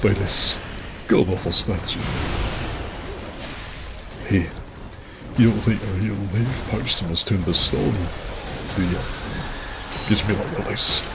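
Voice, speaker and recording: A man voicing a rough, world-weary hunter who speaks in a halting, drawn-out way. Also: fairly low pitch, noisy background. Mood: grim.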